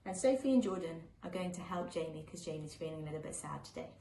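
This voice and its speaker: A woman reading a children's picture book aloud live, with an expressive, sing-song storytelling delivery. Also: low-pitched, quick pace. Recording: echoey room with faint background noise.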